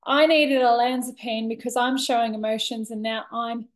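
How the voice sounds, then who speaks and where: A woman in her thirties giving a candid, reflective personal monologue to camera, expressive, with deliberate pauses and dramatic emphasis. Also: on the high side. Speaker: vlogger sharing a personal story. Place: bright indoor room with large windows. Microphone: laptop webcam at desk distance.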